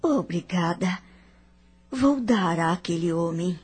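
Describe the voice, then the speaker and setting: An actress playing an elegant, compassionate lady with a Brazilian accent, gentle and pitying in a radio drama. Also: lowish voice, expressive, takes it slowly, husky, heavily acted. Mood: tender pity.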